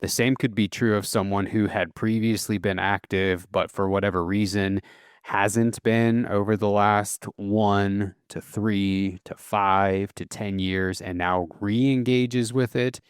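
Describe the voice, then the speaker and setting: A man hosting a solo podcast, explaining at a relaxed pace with casual fillers and self-corrections. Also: fairly low pitch, slow pace, clean tone, no room sound, clean studio sound. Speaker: podcast host.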